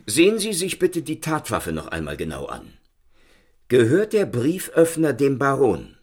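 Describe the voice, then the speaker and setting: Persistent inspector, husky, lively intonation. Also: male voice, mid-range voice, a little slow, full character voice. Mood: questioning. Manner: insistent, probing questioning. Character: dogged middle-aged Paris police inspector.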